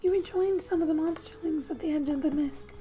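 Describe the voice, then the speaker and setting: A woman narrating a game in soft-spoken ASMR style, often dropping into a breathy whisper, reading gently like a storybook with quiet asides. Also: high voice, fairly quick, soft background music. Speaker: gaming ASMR video host.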